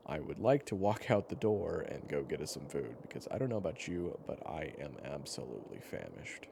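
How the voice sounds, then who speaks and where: Man acting low, gravelly, wry storyteller. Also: full character voice. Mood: wry, coaxing. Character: weary, protective male storyteller survivor, teasing and patient. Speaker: character narrator. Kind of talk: conversational in-character storytelling, audio roleplay. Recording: clearly noisy background ambience.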